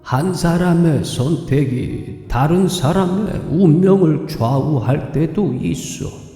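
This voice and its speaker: Male actor as otherworldly old man, measured, solemn, soft mellow tone, heavy reverb, music underneath, audio drama. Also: on the high side. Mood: calm, solemn. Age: older. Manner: slow, measured, philosophical. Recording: long cavernous reverb, music behind.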